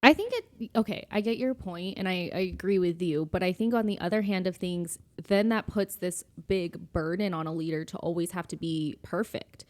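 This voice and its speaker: A woman with a slightly low voice co-hosts a podcast. She talks casually, probing with questions and gently pushing back as she thinks through her side. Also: normal speed, hardly any pauses. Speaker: podcast co-host. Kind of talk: casual conversational discussion.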